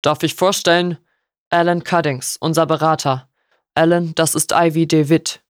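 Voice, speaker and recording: A woman voicing a stern, stony older lord in an audiobook, formal, measured and sharp. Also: low voice, fairly even intonation, no room sound, studio quality, lightly acted.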